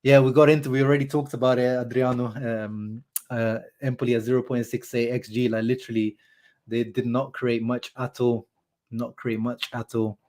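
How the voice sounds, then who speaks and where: A man's voice: mid-range voice, normal speed. A young man with a sore throat hosting a live football reaction stream, chatting casually and answering viewers' comments. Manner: animated, chatty, says "bro" and "like" a lot. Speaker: football fan channel host. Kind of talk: live-stream match reaction, reading chat.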